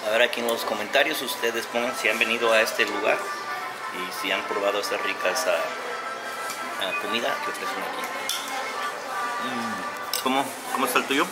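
A man's voice: fairly low pitch, normal speed, pauses often, loud music behind the voice. A man in his forties from Mexico, chatting casually through a food vlog as he tastes dishes in a small restaurant, humming as he eats. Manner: chatty, relaxed, savoring food with hums. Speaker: food vlogger. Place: small restaurant, partly open-air. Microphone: handheld camera at arm's length. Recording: loud background noise.

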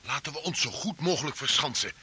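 An actor playing a gruff, hard-nosed criminal who takes charge, brusque and sardonic, in a Dutch radio play. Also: male voice, fairly high pitch, lively intonation, fast, full character voice. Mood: commanding. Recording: sharp old radio recording with clicks.

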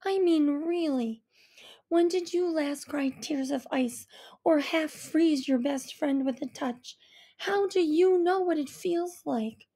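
A woman's voice: high-pitched, slow pace, mellow, pitched above the performer's own voice. A woman voicing a frightened, upset teenage girl. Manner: distressed, pleading.

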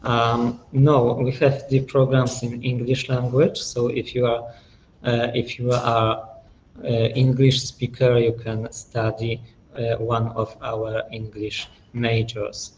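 A young man presenting a university in a webinar, speaking slowly with many fillers and restarts, from a small office over a webcam. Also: medium pitch, completely flat delivery, darkish tone, a little hiss or hum. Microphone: webcam on video call. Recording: dull lo-fi webcam audio.

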